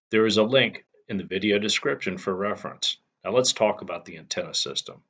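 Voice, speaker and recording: A man giving a measured, matter-of-fact technical narration as the host of a hobbyist channel. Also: on the low side, a bit bright. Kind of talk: explanatory scripted narration.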